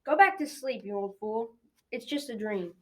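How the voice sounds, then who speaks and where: A woman's voice: fairly high pitch, lively intonation, moderate pace, natural voice. A young teenage girl playing an ugly, bossy mother giant in a school radio drama, snappish and scolding. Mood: irritated. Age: young teen.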